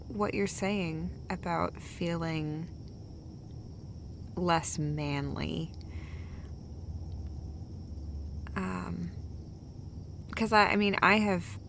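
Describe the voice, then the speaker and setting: Some low rumble sits behind a woman acting as a girlfriend in an intimate audio roleplay. She talks slowly and haltingly at a middle pitch, tender and sweary, rambling casually as she comforts her partner. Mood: soft, vulnerable.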